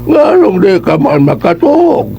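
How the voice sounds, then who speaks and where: A man playing a muddled village healer in a comic Cebuano radio drama, creaky and repetitive as he explains. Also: sits high, huge pitch swings, a little slow, lo-fi sound, faint music behind, full character voice. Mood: puzzled.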